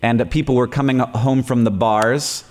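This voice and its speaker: A man's voice: mid-range voice, fairly even intonation, normal speed, darkish tone, a little hiss or hum. A man in his late thirties with an American accent, hosting a live podcast in front of an audience, telling stories with self-deprecating humour and frequent laughs. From United States. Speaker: podcast host. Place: stage before a live audience.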